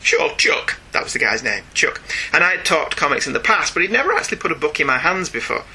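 Man, Northern English accent, higher-pitched, lively intonation, casual podcast host, jokey asides. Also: fast, slightly rough. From northern England. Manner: jokey, animated, digressing into asides. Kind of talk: casual comics chat and reading listener emails aloud.

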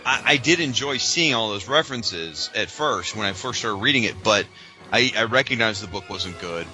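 Low voiced guy podcast host, really bright punchy sound, informal fan chat, music behind. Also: animated, moderate pace, poor audio. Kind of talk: casual fan discussion.

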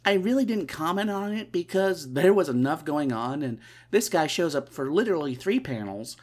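Nasal stuffed-up podcast host, middle pitch, dry sarcastic asides. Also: animated, normal speed. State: stuffy nose. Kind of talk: casual comic recap.

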